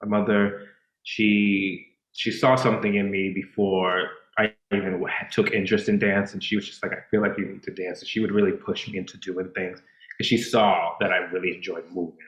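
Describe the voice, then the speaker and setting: A man's voice: low-pitched, little pitch movement, moderate pace, reverberant, lo-fi sound. A Dominican-born man whose first language is Spanish, a dancer and choreographer talking casually on a podcast, reflective, with many fillers and restarts. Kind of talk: casual interview chat.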